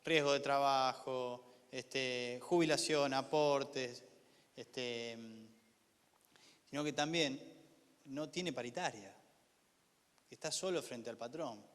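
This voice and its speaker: A man with a Buenos Aires accent gives an informal class lecture, haltingly, repeating words and posing rhetorical questions to his listeners. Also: mid-range voice, fairly bright tone. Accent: Argentine, Buenos Aires area. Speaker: lecturer, university official teaching a class. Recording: echoey hall with long reverb.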